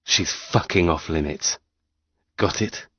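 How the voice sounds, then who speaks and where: A man's voice: low-pitched, slow pace, a bit bright, husky, dry recording, dull audio, full character voice. A man playing a possessive, commanding firstborn brother in an audiobook, speaking in a clipped, domineering way. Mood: cold, possessive. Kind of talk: audiobook character dialogue.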